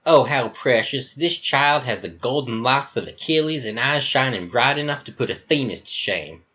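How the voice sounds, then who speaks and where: A man's voice: mid-range voice, lively intonation, moderate pace, bright, forceful tone, dull audio, voice pushed up. A man voicing a flamboyant, theatrical middle-aged Southern governor, a gushing villain with florid, grandiose speech and an American Southern accent. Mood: grandiose, fawning.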